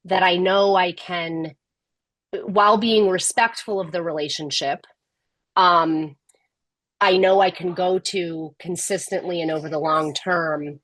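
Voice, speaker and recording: A middle-aged woman speaking as a podcast guest, candid and measured, often restarting her sentences. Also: lowish voice, steady tone, fairly bright tone, no echo, poor audio. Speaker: podcast guest, tech executive. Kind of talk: casual interview conversation.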